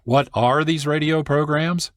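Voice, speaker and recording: A man's voice: fairly high pitch, fairly even intonation, measured pace, no room sound, clean studio sound. A man hosting a radio program with scripted documentary narration. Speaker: producer and host.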